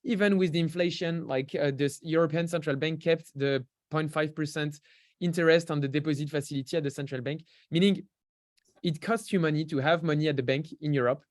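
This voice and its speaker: A man's voice: fairly high pitch, steady tone, fairly quick, no echo. Man, French accent, young adult, podcast guest, explanatory, fillers and restarts, dry close sound. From France. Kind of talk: casual interview talk.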